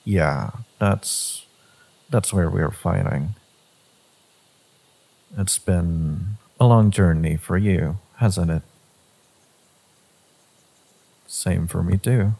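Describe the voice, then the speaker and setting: A man voicing a brooding, devoted fantasy villain in a romance audio roleplay, speaking deliberately in a low, gravelly, husky voice. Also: warm tone, full character voice. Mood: quiet, reflective. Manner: slow, deliberate, with pauses and sighs. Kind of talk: dramatic second-person romance audio roleplay.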